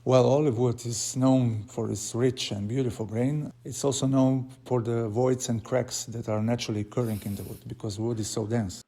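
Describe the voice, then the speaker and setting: A man's voice: mid-range voice, fairly even intonation, moderate pace, few pauses, mellow. A man from Slovenia speaking English with a Slovenian accent, narrating his woodworking in an earnest, plain way with small slips. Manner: plain, earnest, slightly broken English with frequent uh fillers. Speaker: woodworker and channel host. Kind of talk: casual workshop vlog narration.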